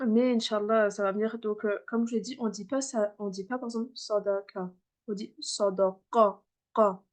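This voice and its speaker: A woman's voice: medium pitch, steady tone, moderate pace. A woman teaching a beginner class over a video call, patiently repeating sounds for her students, with frequent fillers. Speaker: Arabic reading teacher.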